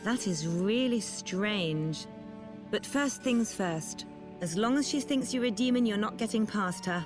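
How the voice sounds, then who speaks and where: A woman's voice: medium pitch, expressive, a little slow, lightly acted. Woman voicing a practical companion. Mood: thoughtful. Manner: practical, reasoning. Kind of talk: video game cutscene voice acting. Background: game music.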